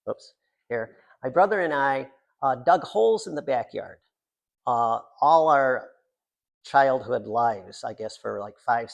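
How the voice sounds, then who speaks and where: Gravelly older American man, wryly self-deprecating. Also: mid-range voice. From Central New York, United States. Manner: wry, self-deprecating humour. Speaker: poet reading from own book. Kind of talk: informal author talk at a poetry reading.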